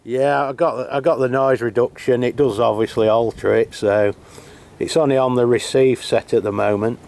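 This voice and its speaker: A man's voice: mid-range voice, normal speed, gravelly. Guy on a rainy hilltop, laid-back radio chat, stops and starts a lot. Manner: relaxed, hesitant, many fillers. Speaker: channel host testing CB radios. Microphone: camera mic while holding a handheld radio.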